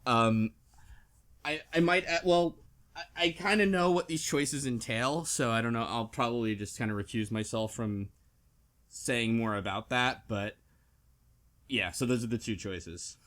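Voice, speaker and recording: A man with an average-pitched voice talks as a podcast co-host in a casual discussion. He recaps a story in loose, conversational phrases, often stopping to reword or correct himself. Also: a little slow, a bit bright. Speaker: book club podcast co-host. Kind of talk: casual chat, recapping a visual novel.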